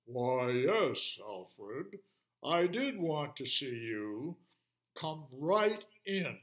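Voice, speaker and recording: A storyteller doing a deep growling lion. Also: sing-song, extremely slow. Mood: calm, kindly. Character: big male lion, deep-voiced and kindly wise.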